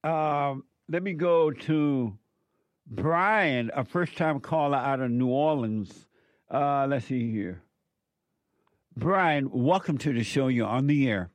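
A man's voice: medium pitch, animated, takes it slowly, no echo. A man in his seventies hosting a call-in radio show, giving blunt, preachy advice to callers. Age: 70s.

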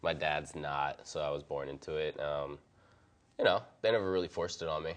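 A man's voice: a bass voice, steady tone, normal speed. A young man answering questions in a casual conversation, easygoing and laughing often. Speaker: interviewee.

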